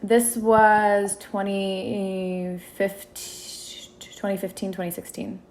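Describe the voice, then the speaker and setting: A woman's voice: medium pitch, flat delivery, takes it slowly, darkish tone, a little hiss or hum. A woman in her twenties from Minneapolis, a content creator, chatting casually as a podcast guest with lots of restarts. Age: 20s. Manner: chatty, many restarts and fillers. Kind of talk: casual interview chat.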